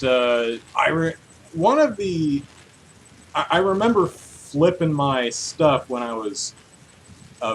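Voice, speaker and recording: A man in his thirties with a mid-pitched voice chats casually as a podcast host. His delivery is animated and self-deprecating. Some background noise sits behind him. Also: rough recording. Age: 30s. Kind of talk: casual chat. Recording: clear steady background noise.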